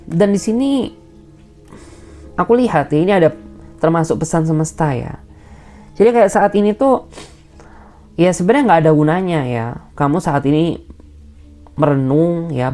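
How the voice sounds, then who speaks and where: Low, mellow-voiced woman reading tarot. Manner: tags nearly every phrase with a soft "ya"; advising and reassuring in a direct, personal way. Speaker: tarot reader. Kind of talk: general tarot reading addressed to the viewer.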